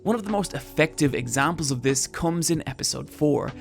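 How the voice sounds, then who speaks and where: A man's voice: on the high side, expressive, a little fast, vocal fry. A man narrating a scripted video essay, with dry, wry asides. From Britain. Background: soft background music.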